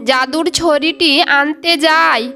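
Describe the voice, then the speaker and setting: A woman's voice: high-pitched, steady tone, measured pace, vocal fry, bright, forceful tone. A woman narrating a scripted children's fairy tale, with faint music behind her.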